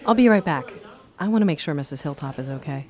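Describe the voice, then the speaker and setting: An actress playing a kind, level-headed small-town waitress in a radio play, firm but considerate. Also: female voice, low-pitched, lively intonation, fast, darkish tone, some chatter behind. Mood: concerned.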